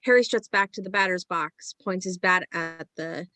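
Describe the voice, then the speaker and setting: A woman's voice: lowish voice, moderate pace, bright, forceful tone, no room sound. A woman reading screenplay stage directions as scripted present-tense narration.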